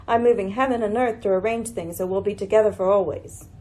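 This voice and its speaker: A woman playing a coolly commanding lady detective in a radio mystery, measured and probing with dry irony. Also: medium pitch, fairly even intonation, mellow, natural voice. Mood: thoughtful. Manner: measured, probing, dryly ironic. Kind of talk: old-time radio mystery acting.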